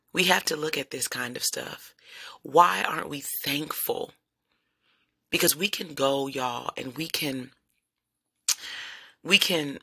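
A woman with a low voice who hosts a devotional podcast and leads worship at her church, talking casually and warmly to her listeners. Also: gravelly, breathy edge. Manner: chatty and encouraging, often addresses listeners as "y'all".

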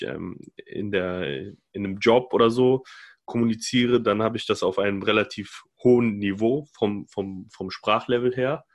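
A man's voice: lowish voice, a bit bright. A man speaking in a loose, rambling way with many fillers during a casual discussion.

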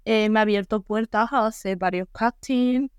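A woman's voice: mid-range voice, moderate pace, smooth, no room sound, highs cut off. A young woman with a southern Spanish accent from Ceuta, a podcast guest talking frankly and casually, full of fillers. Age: young adult. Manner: frank, talkative, lots of fillers.

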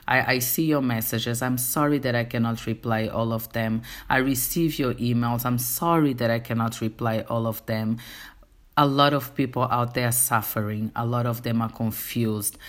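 A woman with a Portuguese accent, a native Portuguese speaker, hosting her own podcast and speaking earnestly straight to her listeners in a casual, faith-centered monologue. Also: rumbling low. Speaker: podcast host.

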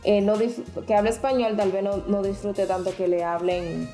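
A woman's voice: medium pitch, level tone, normal speed, warm tone, roomy sound, soft background music. A woman co-hosting a podcast who explains topics frankly in casual chat, with many restarts. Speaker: podcast co-host.

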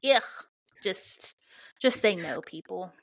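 A woman's voice: mid-range voice, lively intonation, slow pace, no echo. A woman chatting casually as a podcast co-host, giggly and conversational. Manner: giggly, often laughing as she talks. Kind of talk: casual banter between co-hosts.

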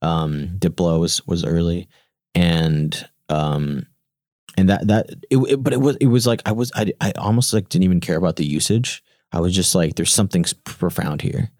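A man's voice: low-pitched, no echo, studio quality. A man in his thirties, a founder being interviewed, talking casually with many restarts and fillers, whose first language is Gujarati. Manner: halting, many restarts and fillers, animated quoting. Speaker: startup founder, guest.

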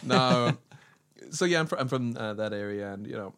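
A man's voice: medium pitch, moderate pace, a bit bright, smooth. A man from Northern Ireland with a mild Northern Irish accent tinged with American, chatting as a podcast guest in a rambling, self-mocking way. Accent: mild Northern Irish with American influence. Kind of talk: casual interview chat.

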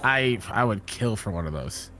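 A man as a podcast guest in casual chat, his voice a little raspy after losing it, relaxed and easygoing. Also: lowish voice, moderate pace, a little hiss or hum.

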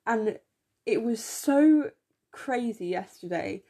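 A young woman co-hosting a podcast in casual chat, enthusiastic and full of fillers, her voice slightly croaky, recorded on a phone in her room. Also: a little high, animated, slow, mellow. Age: young adult. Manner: chatty, enthusiastic, many fillers. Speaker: podcast co-host.

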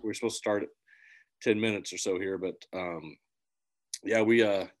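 Midwest band guy, lowish voice, rambles and restarts a lot, earnest. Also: steady tone, normal speed. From central Illinois, USA. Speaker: musician, podcast guest. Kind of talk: casual interview chat.

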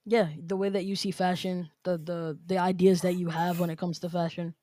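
A man's voice: high-pitched, level tone, moderate pace, darkish tone. A man hosting a podcast, upbeat and chatty, often repeating and restating his own phrases. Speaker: podcast host. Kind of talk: casual interview chat.

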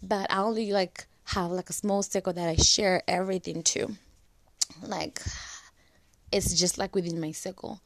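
A woman's voice: lowish voice, steady tone, moderate pace, vocal fry, a little hiss or hum. A young woman with a slightly cracked voice from a flu and dry cough, chatting casually and giving advice with lots of "like"s. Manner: chatty, filler-heavy, with many "like"s. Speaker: vlogger. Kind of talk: casual advice talk to camera.

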